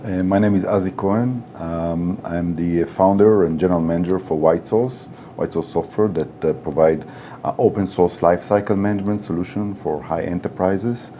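A man in his sixties with an Israeli accent, speaking haltingly as a panelist. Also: low-pitched, steady tone, mellow. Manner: halting, searching for words. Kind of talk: panel discussion. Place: hotel room studio set. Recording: dull sound, faint room hiss.

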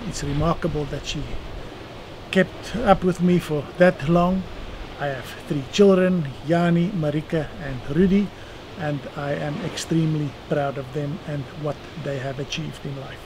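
A man in his sixties with a South African accent, answering interview questions in a measured way with dry humor, on an outdoor terrace. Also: a little high, steady tone, gravelly. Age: 60s. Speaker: eye surgeon guest. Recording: outdoor noise behind voice.

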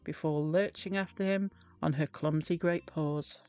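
A woman narrating a children's storybook in a deliberate, expressive way. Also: low voice, faint music behind. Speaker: storybook reader.

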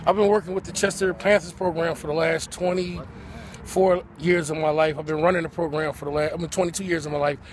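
A man's voice: on the high side, flat delivery, a little fast. A man in his forties giving casual interview answers as a youth sports program director. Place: outdoors by a sports complex. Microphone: handheld phone. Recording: outdoor phone video with background noise.

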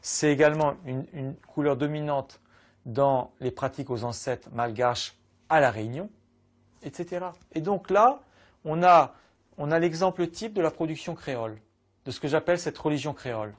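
A middle-aged man gives an interview in an indoor office. His voice sits a little high, and he explains in a calm, lecturing way. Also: moderate pace. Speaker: interviewed expert.

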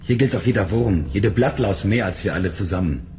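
Actor as low, gruff fugitive android. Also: male voice, expressive, brisk, a little mellow, a little hiss or hum, natural voice. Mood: bitter. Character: embittered, threatening male fugitive android. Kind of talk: radio drama acting.

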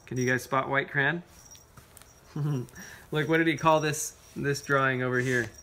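A man's voice: medium pitch, fairly even intonation, measured pace, sharp tone, slight background noise. A man, a father, chatting casually with his children while reading aloud at home. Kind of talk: casual chat with children during storytime. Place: carpeted room at home.

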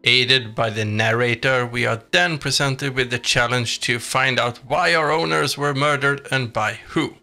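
A man's voice: medium pitch, measured pace, vocal fry, hardly any pauses, pushed and bright. A man reading a scripted video game review as a voice-over. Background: background music.

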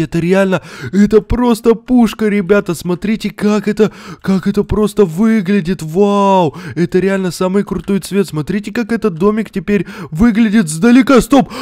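A man's voice: high-pitched, darkish tone. A young man doing exclamatory Let's Play commentary, repeating himself and addressing his viewers. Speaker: gaming video host.

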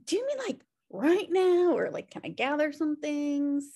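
A woman's voice: high-pitched, sing-song, moderate pace, mellow. A woman reading the part of a sardonic, wisecracking slacker sister in a sitcom table read over a video call. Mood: sheepish. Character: flippant, sardonic slacker sister, adult twin. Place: indoor room at home on video call.

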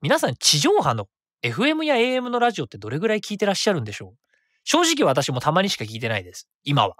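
A man around forty, hosting his own web radio show in a chatty, rapid way that stays easy to follow. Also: a little high, wildly swooping pitch, fairly bright tone, dry recording, studio quality. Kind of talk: casual solo radio talk.

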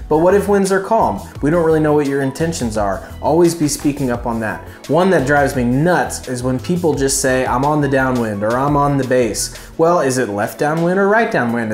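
A man's voice: mid-range voice, reverberant. A man in his thirties giving an informal, emphatic instructional talk to camera as a host, recorded on a lapel mic in a living room. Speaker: flight instructor and video host. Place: small furnished living room indoors.

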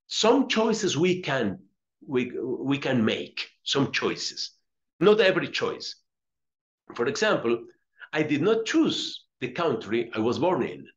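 Slow, expressive Colombian-accented male religious teacher. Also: medium pitch, dull audio. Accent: Colombian Spanish. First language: Spanish. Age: middle-aged. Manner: deliberate, emphatic, repeats phrases. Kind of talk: religious lesson, reading aloud and explaining. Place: small indoor room with curtains.

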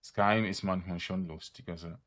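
A man's voice: low voice, steady tone, moderate pace, a bit bright. A man giving casual let's play commentary, hesitantly thinking aloud as he plays. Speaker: gamer, let's play host.

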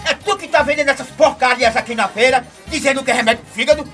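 A raging, vengeful bully in a radio soap, with a Northeastern Brazilian accent. His voice is extremely high and sharp as he yells commands, with music behind. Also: quick pace. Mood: furious. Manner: shouting, aggressive, commanding. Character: furious bullying man out for revenge. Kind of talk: radio soap opera acting.

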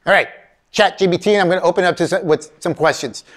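A man's voice: high-pitched, quick pace. A middle-aged man giving a motivational career keynote in a college lecture hall, talking straight to the audience as "folks," recorded from a camera out in the audience. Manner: animated, addresses audience as folks, acts out quoted voices. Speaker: keynote speaker. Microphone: far camera in audience.

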